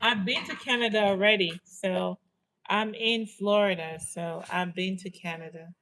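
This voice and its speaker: A woman's voice: medium pitch, steady tone, moderate pace, a bit bright, slight background noise, poor audio. A woman with a slight Jamaican accent chatting casually on a livestream, reading out viewer comments and answering them. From United States. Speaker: host.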